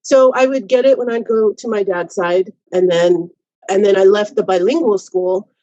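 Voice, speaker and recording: A reflective woman in her fifties, a professor, who works through her thoughts aloud with restarts and many "you know"s. Her voice sits at a middle pitch and sounds close and dry, with a slightly dull, lo-fi tone. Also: moderate pace, mellow, dry recording. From United States. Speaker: college professor, podcast guest. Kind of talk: reflective personal storytelling.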